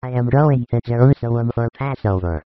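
Man voicing a gentle teacher, calm, measured, thin phone-like sound, audiobook. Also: medium pitch, dry recording, close to an everyday voice.

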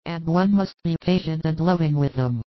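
A man voicing a tender, patient older mother with a gentle, coaxing manner. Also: a little high, moderate pace, no echo, dull audio, voice pushed up, natural voice. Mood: patient, loving.

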